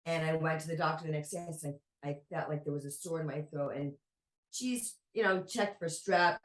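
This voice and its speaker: Low-voiced woman telling a personal story. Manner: reflective, unhurried storyteller. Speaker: podcast guest. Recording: roomy echo.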